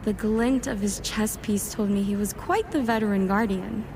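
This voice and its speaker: A woman's voice: mid-range voice, normal speed, background noise, natural voice. A woman playing an eager, self-doubting warlock scholar in an audio drama, chatty and wry as she narrates her own thoughts. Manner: wry, chatty inner monologue with muttered self-talk. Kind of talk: first-person audio drama narration and dialogue.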